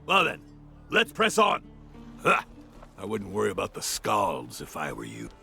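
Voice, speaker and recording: An actor playing a brusque, gruff hunter in a fantasy video game, blunt and wry. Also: male voice, low voice, huge pitch swings, unhurried, pushed and bright, soft background music, exaggerated voice. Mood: confident. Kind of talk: video game cutscene acting.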